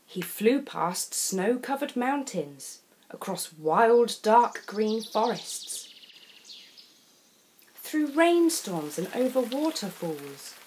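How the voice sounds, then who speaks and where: Slow, animated woman storyteller. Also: medium pitch, a little hiss or hum. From England. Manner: slow, deliberate, dramatic phrasing. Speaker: children's storybook reader. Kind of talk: expressive scripted storytelling for young children.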